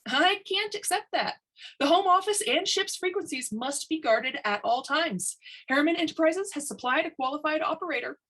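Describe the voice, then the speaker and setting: A cheerful, self-satisfied male inspector acted out by a woman storyteller, breezy and pedantic. The voice is pitched well up and sounds brashly sure of itself. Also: expressive, moderate pace, a bit bright. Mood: smooth, insistent. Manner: breezy, pedantic, formal wording.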